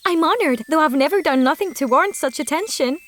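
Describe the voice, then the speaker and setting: A woman's voice: high voice, expressive, quick pace, a bit bright. A woman voicing a humble, kind-hearted young girl in a fairy tale, polite and modest in manner. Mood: modest, earnest. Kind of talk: fairy tale character acting.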